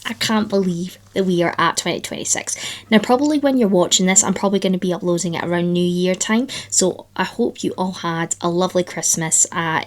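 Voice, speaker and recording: A woman vlogging about books in a chatty, enthusiastic way, her voice still slightly croaky after the flu. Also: fairly low pitch. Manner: chatty, enthusiastic, lots of fillers. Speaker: book vlogger. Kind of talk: casual chat to camera.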